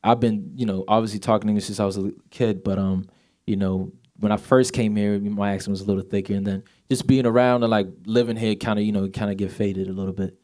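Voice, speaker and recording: Guest singer, Swedish-born man in his 20s, low voice, light accent, easygoing and filler-heavy. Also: steady tone, fast talker, mellow. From Sweden. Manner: laid-back, many fillers like "you know". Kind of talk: casual interview chat.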